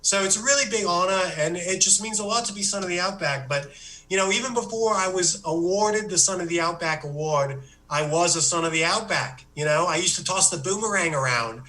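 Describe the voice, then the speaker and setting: A man voicing a rambling, self-pitying Australian man who gives a comic business pitch in a put-on Australian accent. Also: fairly quick, sharp tone, rough recording. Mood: boastful. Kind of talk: comedic monologue.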